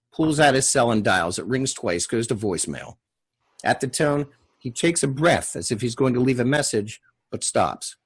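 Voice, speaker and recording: A man narrates a screenplay at a table read in clipped, scripted phrases. His voice is mid-pitched and husky, with lively swings in intonation. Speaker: stage-direction narrator and table read leader.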